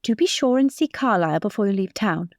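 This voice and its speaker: A woman's voice: mid-range voice, lively intonation, brisk, no echo, clean studio sound, lightly acted. A woman voicing an imperious, cunning society lady in tabletop roleplay, refined, formal and patronising. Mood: gracious, composed. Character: poised, imperious high-society lady, cunning older sister.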